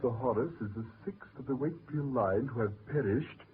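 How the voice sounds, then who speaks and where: An actor playing a skeptical gentleman with a formal, measured delivery in a 1940s radio drama. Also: mid-range voice, natural voice. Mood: grave, measured. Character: brisk, skeptical gentleman in a gloomy mystery. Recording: noisy, tinny 1940s radio recording.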